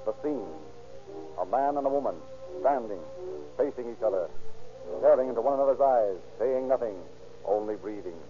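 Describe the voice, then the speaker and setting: A man announcing and narrating from a script in a formal, measured 1930s radio style. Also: mid-range voice, faint music behind. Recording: dull 1930s radio recording.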